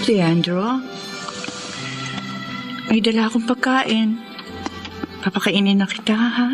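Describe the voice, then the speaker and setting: High-voiced anguished devoted wife. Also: female voice, takes it slowly. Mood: gentle concern. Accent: Filipino. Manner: pleading, tearful, emotional. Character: devoted, anguished wife caring for her suffering husband. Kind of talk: Filipino radio drama acting. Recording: dramatic music behind the voice.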